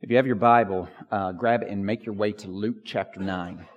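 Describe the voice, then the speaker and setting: A man preaching as a pastor, with a hoarse voice and lots of fillers and restarts. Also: lowish voice, steady tone, fairly quick, highs cut off. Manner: filler-heavy, restarts often. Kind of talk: prayer and sermon. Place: church sanctuary. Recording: long church reverb.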